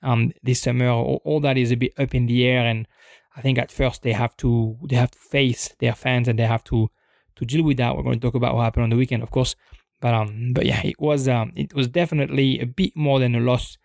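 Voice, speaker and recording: A young man talks football in an unscripted podcast, pausing often with ums and restarts as he thinks aloud. His voice has a gravelly, creaky edge at a middle pitch, recorded dry and close with no room sound. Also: steady tone, darkish tone, no echo. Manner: hesitant, many ums and restarts, thoughtful.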